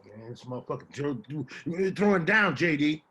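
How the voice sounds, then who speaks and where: A man's voice: fairly high pitch, animated, moderate pace, bright, forceful tone, breathy edge, highs cut off, heavily acted. A man playing a proud, hardened Vietnam veteran turned gravedigger, talking tough street talk in a dramatic play reading. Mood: scornful.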